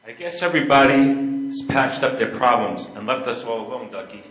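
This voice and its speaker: Casting: older male amateur as fond, kindly stage gentleman, medium pitch, roomy echo. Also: lively intonation, normal speed, natural voice. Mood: fond, musing. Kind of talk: readers theater stage play. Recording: echoey room, distant stage pickup.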